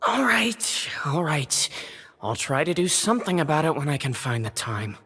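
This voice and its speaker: A woman's voice: low-pitched, very animated, normal speed, fairly bright tone, husky, big-room sound, heavily acted. An actress playing a young female courier in a video game, casual, evasive and unbothered.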